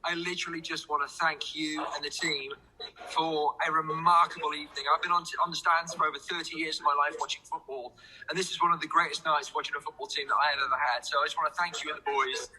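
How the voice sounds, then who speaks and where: A man's voice: a little high, steady tone, quick pace, pushed and bright, slight background noise, rough recording. A sports reporter, a man, heartfelt and emphatic, thanking a coach from the stadium stands in a recorded press clip.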